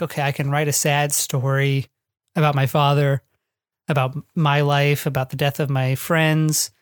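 An author with an American accent, talking reflectively in an interview in a halting, discursive way, thinking aloud and hedging often. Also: medium pitch, dry recording, studio quality. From northwest Ohio, United States. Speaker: guest author of a memoir. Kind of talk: reflective podcast interview talk.

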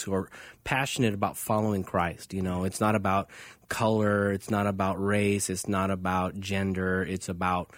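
A man's voice: lowish voice, no room sound. American man hosting radio, dry studio sound. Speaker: radio host and pastor. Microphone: close studio microphone.

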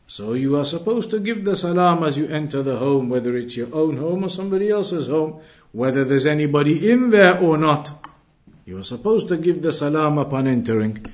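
A man teaching an Islamic lesson, explaining in a deliberate way and repeating his points. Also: on the high side, expressive. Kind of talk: religious lesson, reading Arabic then explaining.

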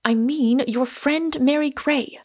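A woman's voice: high voice, slow, darkish tone, voice pushed up. A woman voicing an exasperated, tart young woman in a story reading.

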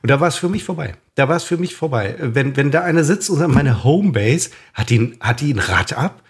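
A man's voice: medium pitch, animated, a little fast. A man co-hosting a comedic chat, rambling with many fillers, a bit drunk, working himself up into a rant. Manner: ranting, hesitant with many fillers, gets worked up. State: tipsy. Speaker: podcast co-host.

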